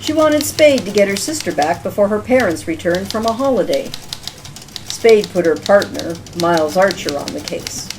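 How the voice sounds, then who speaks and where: A woman announcing and narrating a radio-style mystery drama in a measured, dramatic storytelling manner. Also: mid-range voice, lively intonation, background noise. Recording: music clearly behind the voice.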